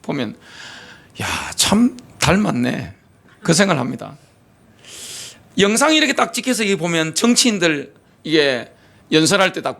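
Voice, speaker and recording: Gravelly high-pitched man preaching with fervor. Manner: impassioned preaching with rhetorical questions and sudden drops to quiet. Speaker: pastor. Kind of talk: sermon. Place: church sanctuary.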